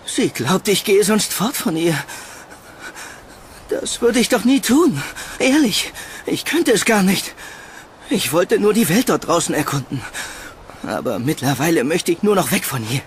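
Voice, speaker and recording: A man's voice: high voice, very animated, measured pace, a little hiss or hum. A man playing a haunted, shy yet charming young man in a horror audio drama, earnest and pleading. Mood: anxious, pleading.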